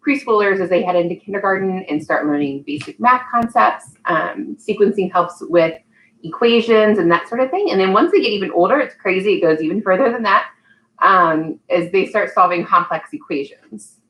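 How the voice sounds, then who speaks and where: A woman's voice: medium pitch, fairly bright tone, rough recording. Woman leading an upbeat children's storytime. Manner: encouraging, child-directed, sing-song when leading activities. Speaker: early childhood storytime host and teacher. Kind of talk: live online storytime for young children.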